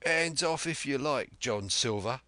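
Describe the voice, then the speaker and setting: A man voicing an honest young sailor with a hoarse, shaking voice who speaks earnestly, for a storybook reading. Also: medium pitch, animated, measured pace, bright, forceful tone, no room sound, voice pushed up. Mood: defiant. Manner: earnest, pleading then defiant.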